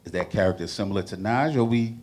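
A man hosting a relaxed panel chat, his voice sitting very low. He talks with bounce and often stumbles over the first words of a sentence. He speaks into a handheld mic in a wood-walled restaurant. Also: fast talker. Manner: energetic, repeats words when starting. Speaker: talk show co-host. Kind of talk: casual panel interview.

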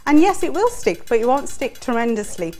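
A woman's voice: high voice, big pitch swings, a little fast, mellow. A middle-aged woman hosts a cooking show, explaining each step as she cooks in a studio kitchen on a lapel mic. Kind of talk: cooking demonstration. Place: studio kitchen set, indoors. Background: faint clatter of pots and utensils.

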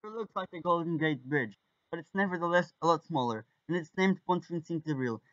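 A man's voice: a little high, expressive, fast talker, a bit bright, no echo, dull audio. A teenage man from Portugal with a Portuguese accent, presenting an amateur documentary as a student guide.

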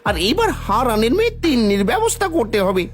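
A woman's voice: on the high side, very animated, brisk, slight background noise. A woman voicing a sly, bullying henchman of a village headman in a children's cartoon, with a taunting, blustering manner. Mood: scheming. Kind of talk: Bengali children's cartoon voice acting.

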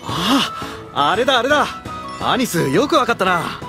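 A man's voice: high-pitched, very animated, normal speed, loud music behind the voice. An actor playing a friendly, considerate young swordsman with a casual, apologetic manner in an anime audio drama.

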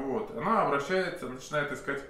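A man's voice: medium pitch, measured pace, sharp tone. Hesitant man with a cold in an echoey room. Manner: rambling, many hesitation sounds and restarts. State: slightly hoarse with a cold. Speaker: self-styled sorcerer telling a client's story. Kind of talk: unscripted monologue to camera. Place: indoor office room with candles. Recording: very echoey room, faint hiss.

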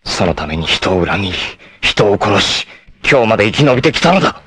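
A man's voice: on the low side, very animated, a little fast, a bit bright. A man playing a proud, vengeful martial-arts warrior in a 1980s anime, cool, ruthless and theatrical in delivery. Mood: grim resolve. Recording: noisy old anime clip compilation.

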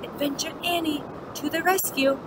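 A woman voicing an eager, adventurous kindergarten girl from a storybook, in an excitable way. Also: sits high, normal speed, background noise, natural voice. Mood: proud.

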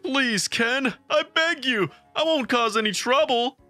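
Bright, pleading, excitable young man acted out. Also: high voice, unhurried. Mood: desperate pleading. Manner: imploring, overdramatic. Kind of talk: manga comic dub dialogue. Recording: dry voice with background music.

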